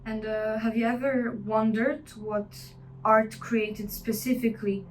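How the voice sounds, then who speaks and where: A woman interviewing a guest, asking short, quiet questions. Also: on the high side, fairly even intonation, a little slow, recorded from a distance, a little hiss or hum, poor audio. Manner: brief, quiet prompts and questions.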